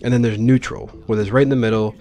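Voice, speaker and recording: A man's voice: mid-range voice, fairly even intonation, quick pace, dark tone, soft background music. A man giving informal, explanatory commentary as he hosts a video game playthrough. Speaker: Let's Play host. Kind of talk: casual gameplay commentary.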